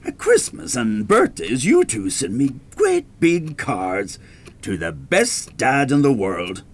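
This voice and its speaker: Very animated older Irish father. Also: mid-range voice, slow, creaky, exaggerated voice. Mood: self-satisfied, musing. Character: querulous, gullible retired Dublin widower and father. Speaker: radio drama actor. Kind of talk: radio play acting.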